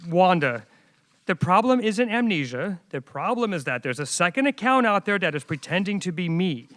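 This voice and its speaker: A man in a radio comedy playing an exasperated computer programmer protesting haltingly, recorded in an echoey hall. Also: high-pitched, very animated, fairly bright tone. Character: Jeff Jefferson, exasperated male computer programmer on hold. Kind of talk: radio play comedy acting. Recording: echoey hall, live stage performance.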